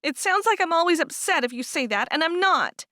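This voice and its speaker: A woman's voice: very high-pitched, lively intonation, a little fast, sharp tone, dry recording, clean studio sound, voice pushed up, exaggerated voice. A woman reading aloud and voicing an upset, stubborn teenage farm girl who talks in a sulky, indignant way.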